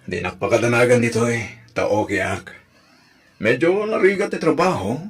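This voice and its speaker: A man's voice: medium pitch, lively intonation, normal speed, close to an everyday voice. An actor playing a devoted young male sweetheart in an Ilocano radio drama, speaking earnestly and reassuringly with an Ilocano accent. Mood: earnest, affectionate.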